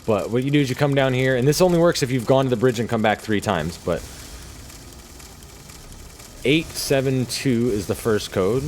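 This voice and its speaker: A man's voice: medium pitch, normal speed, pauses often, a little mellow, slight background noise. A man giving casual, laid-back and sometimes sweary commentary over his own video game play. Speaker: gamer narrating his own gameplay video.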